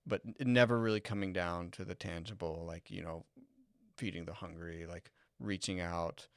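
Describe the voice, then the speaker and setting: A man co-hosting a podcast in reflective, casual discussion, halting as he searches for words. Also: low voice, steady tone, smooth, no room sound, clean studio sound. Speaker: podcast co-host.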